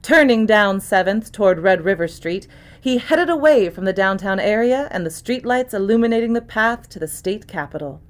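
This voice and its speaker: A woman's voice: mid-range voice, expressive, moderate pace. A woman actor reading scripted first-person mystery narration, as for an audiobook.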